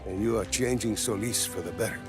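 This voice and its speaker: Game role: low-pitched older scientist played by a man, earnest regretful mentor, clear music underneath. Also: fairly quick, close to an everyday voice. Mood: warm, regretful. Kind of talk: video game cutscene acting.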